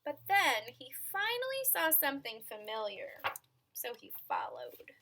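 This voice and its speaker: A woman reading a children's picture book aloud in an expressive, dramatic manner, with asides to young listeners. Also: high-pitched, measured pace, a little hiss or hum. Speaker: storybook reader.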